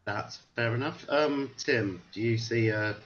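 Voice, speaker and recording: A man hosting a panel discussion, briskly steering questions to his guests. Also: medium pitch, a bit bright. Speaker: host. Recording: dull lo-fi audio with faint hiss.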